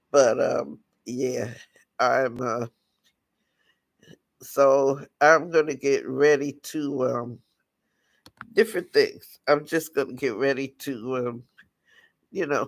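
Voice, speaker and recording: A raspy-voiced man from the United States, hosting a casual livestream talk and speaking in a halting way with many restarts. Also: on the high side, dry recording. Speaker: channel host.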